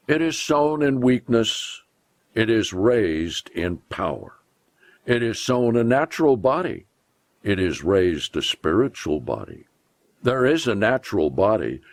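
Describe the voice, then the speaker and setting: Male preacher for solemn scripture reading: low voice, widely varied intonation, deliberate and emphatic delivery. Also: no echo.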